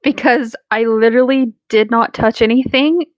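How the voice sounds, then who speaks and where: A woman's voice: on the high side, normal speed, no room sound. A woman co-hosting a casual chat with a flippant, joking manner and some swearing.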